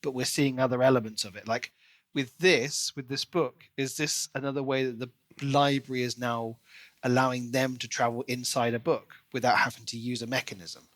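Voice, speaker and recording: A man's voice: medium pitch, steady tone, moderate pace, fairly bright tone, no echo. A man with a mid-range voice talks through a book as a podcast co-host. He is casual but analytical, working out his thoughts as he goes. The sound is dry, with little room on it.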